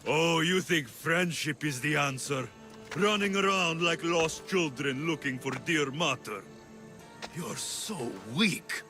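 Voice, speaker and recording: A man's voice: fairly high pitch, slow. An actor playing an arrogant young male demigod who enforces a cult's will, sneering and threatening in a video game cutscene. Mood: mocking. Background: music.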